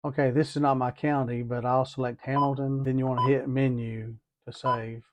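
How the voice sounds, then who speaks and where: A man's voice: medium pitch, flat delivery, moderate pace, slight background noise. A man giving a methodical, hands-on tutorial, talking through each step and repeating as he goes. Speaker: DIY product demonstrator.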